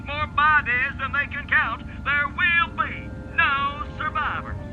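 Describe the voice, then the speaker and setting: Through a dull radio, over prominent music, comes a fanatical male preacher, pitched very high. He declaims slowly, with fervent menace, like a prophet of doom in a radio play. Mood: menacing fervour. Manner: slow, ominous, fervent declaiming. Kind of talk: fire-and-brimstone preaching in radio drama.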